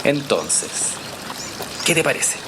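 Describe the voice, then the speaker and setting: A man's voice: medium pitch, very animated, slow pace, heavy background noise. An actor with a Chilean accent playing a casual, slightly hesitant journalist who explains his research in a naturalistic audio drama. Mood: earnest. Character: easygoing male journalist, hesitant but convinced researcher.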